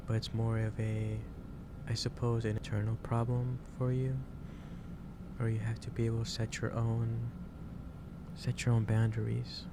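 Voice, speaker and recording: A man playing a gentle, concerned office boss in an ASMR roleplay, speaking haltingly and repeating his phrases. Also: medium pitch, completely flat delivery, heavy background noise, lightly acted. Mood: earnest concern. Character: gentle, concerned male office boss lecturing an overworked employee. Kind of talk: improvised ASMR roleplay addressed to the listener.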